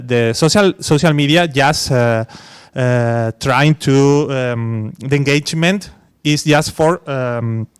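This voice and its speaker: A man's voice: medium pitch, smooth. A man from Spain, an English speaker, talking on a conference panel with many fillers and restarts. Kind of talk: academic panel discussion.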